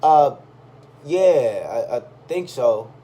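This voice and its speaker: A man's voice: medium pitch, animated, very slow, dark tone. A man voicing a nervous teenage boy bluffing in a told story, polite and stammering. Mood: flustered. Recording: clearly audible background noise.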